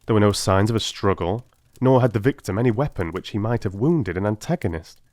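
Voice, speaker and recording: A man narrates in a fairly low voice. His delivery is measured, grave and theatrical, as in a dramatised first-person audiobook. The sound is dry, with no room around it. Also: animated, a little mellow, no room sound.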